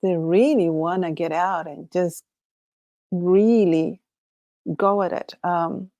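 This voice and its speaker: A woman's voice: on the low side, slow pace, dark tone, dry recording, highs cut off. Chilean-accented woman musing on a livestream. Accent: Chilean Spanish. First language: Spanish. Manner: musing, rambling, laughs often, trails off and restarts. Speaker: livestream host. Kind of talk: casual solo livestream chat.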